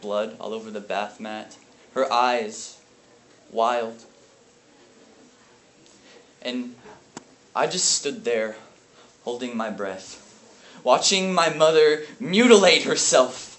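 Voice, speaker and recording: A man performing a dramatic monologue as a haunted, bitter, grieving brother, speaking in a halting, intense way. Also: mid-range voice, a bit bright. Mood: haunted pain. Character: grief-stricken brother, haunted and bitter over family abuse. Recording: echoey, noisy room from far off.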